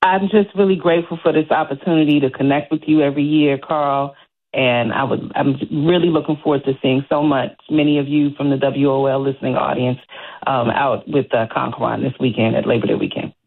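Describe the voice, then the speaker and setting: A woman's voice: extremely low pitch, steady tone, a little fast. A woman speaking as a radio guest and event organizer, giving thanks in an interview. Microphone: phone call. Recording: thin, narrow phone line.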